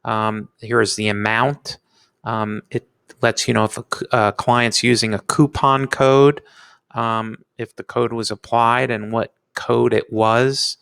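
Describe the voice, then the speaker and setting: A man giving a casual screencast software review in an informal, halting but enthusiastic way. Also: mid-range voice, level tone, creaky, clear, resonant tone, no echo. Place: indoors, screen-recording voice-over.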